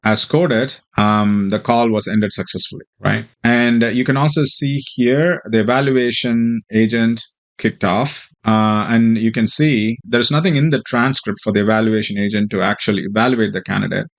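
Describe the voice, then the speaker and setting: A man with a fairly low voice walks through a software demo as its presenter. His explanation is informal and somewhat halting, with a hesitant flow. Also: steady tone. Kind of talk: informal tutorial walkthrough.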